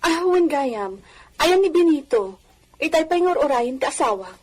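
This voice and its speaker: A woman's voice: high-pitched, animated, moderate pace. A woman playing a genteel, soothing wife of a hacienda owner in a radio drama, speaking in a coaxing, gracious way. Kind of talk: radio drama acting in Ilocano. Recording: faintly noisy radio drama audio.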